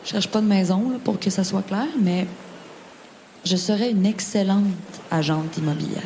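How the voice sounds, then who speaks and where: A woman's voice: mid-range voice, normal speed, mellow, a little hiss or hum, natural voice. An actress playing a dreamy woman delivering a poetic theatrical monologue, lingering on her words. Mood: matter-of-fact. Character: dreamy woman confiding a fascination with houses and landscapes. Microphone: stage microphone. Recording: music under the voice. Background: music, seashore waves and gulls.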